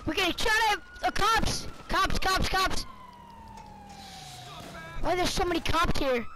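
An excitable, slangy player chatting casually in character during a crime roleplay game. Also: very high voice, measured pace. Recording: clearly noisy game audio with vehicle sounds. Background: game vehicle and street noise.

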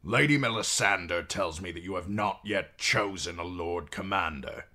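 A man's voice: low-pitched, a little slow, pushed and bright, full character voice. A man voicing a stern, cold middle-aged king with a curt, commanding manner.

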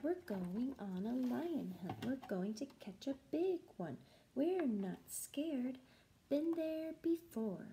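A young woman, a music teacher, reading a children's picture book aloud in a rhythmic, sing-song, deliberate chant. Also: sits high, big pitch swings, dark tone, slight background noise. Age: young adult. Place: small room at home, indoors.

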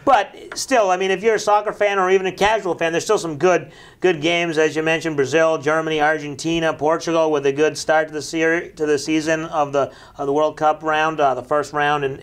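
A man in his thirties hosting a casual local sports talk show in a small studio, upbeat and quick with questions, on a lapel mic. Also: on the high side. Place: small brick-walled TV studio set.

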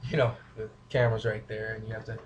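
A man's voice: fairly low pitch, little pitch movement, fast talker, slight background noise. A young man casually showing how he cooks in a small home kitchen, goofy and rambling as he talks to the camera from a few feet away. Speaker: home cooking vlogger. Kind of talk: casual cooking demo, joking asides. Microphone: camera mic from a few feet away.

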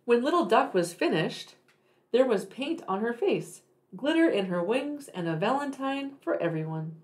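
A woman's voice: a little high, very animated, moderate pace, a little mellow. A woman reading a children's picture book aloud expressively, with emphatic, dramatic phrasing for young listeners. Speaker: read-aloud storyteller for children.

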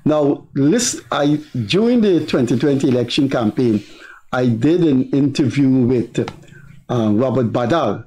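An older man with a Guyanese accent, hosting a political talk show in a studio with measured, deliberate delivery. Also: medium pitch, lively intonation, warm tone, slight background noise, poor audio. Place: TV studio with virtual set.

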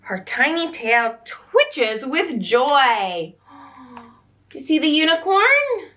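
Animated young woman reading to children. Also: high voice, extremely slow. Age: young adult. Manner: expressive, questioning, wondering. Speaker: children's library storyteller. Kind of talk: animated picture-book read-aloud for children. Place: small indoor room.

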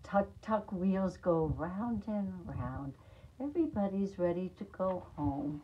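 An older woman, in her sixties, reading aloud to young children as their teacher, with deliberate, expressive, simple phrasing. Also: medium pitch, highs cut off. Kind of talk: children's read-aloud storytelling. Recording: noisy amateur room recording with clicks.

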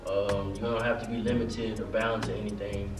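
A young man hosting a casual group Q&A with students, relaxed and full of fillers, in a lounge. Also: fairly low pitch, normal speed. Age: young adult. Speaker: host. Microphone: far camera mic. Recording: echoey room from far off, with music.

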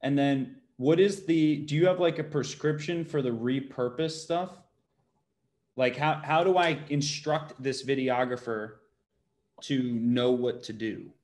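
A man's voice: medium pitch, fairly even intonation, unhurried, darkish tone. A man in his thirties chatting informally on a video call, asking questions as he seeks advice. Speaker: business owner seeking advice. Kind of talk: casual chat on a one-on-one call. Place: bright indoor room at home, video call.

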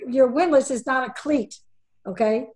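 A woman's voice: sits high, normal speed, darkish tone. An older woman presenting a sailing webinar over a video call, emphatic and quizzing her audience, often repeating key words for stress.

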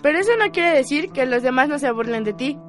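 A woman's voice: high voice, lively intonation, quick pace, soft background music. A woman playing a bookish, skeptical teenager in a radio drama.

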